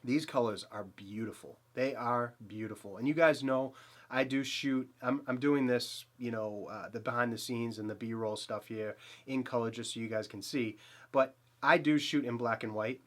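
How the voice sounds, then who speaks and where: A man's voice: medium pitch, normal speed, a bit bright. Enthusiastic Boston man reviewing a lens. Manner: enthusiastic, rambling with restarts and fillers. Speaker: photography channel host. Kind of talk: casual gear review to camera. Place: small dim home studio indoors.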